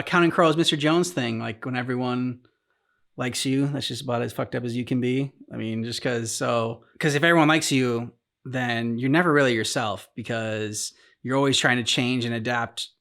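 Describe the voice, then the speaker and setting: A man talks casually as a reaction-show host, in a mid-pitched voice. He rambles through his commentary, stopping and restarting while he looks for the right words. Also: fairly bright tone. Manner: rambling, halting, searching for words. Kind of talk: casual chat, commentary on game cutscenes.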